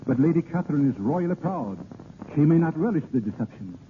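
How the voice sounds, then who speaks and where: An actor playing a gallant, proud, romantic poet and marshal in a 1930s radio drama, with theatrical, courtly phrasing. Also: male voice, fairly high pitch, expressive, brisk, close to an everyday voice. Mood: resolute. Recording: noisy, tinny 1930s radio broadcast.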